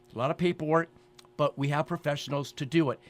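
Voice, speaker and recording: A man in his sixties with a slightly high-pitched voice hosts a promotional radio talk show. He speaks with emphasis, often restating a phrase to drive it home. His delivery is chatty and sales-minded. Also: fast talker. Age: 60s. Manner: emphatic, repeats phrases for effect.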